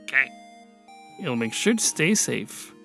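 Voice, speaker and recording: A woman's voice: a bass voice, sing-song, a little slow, creaky, bright, forceful tone, exaggerated voice. A woman voicing a laid-back, protective male skeleton brother in a comic dub, casual and reassuring. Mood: easygoing. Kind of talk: amateur comic dub voice acting. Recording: music bed under the voice.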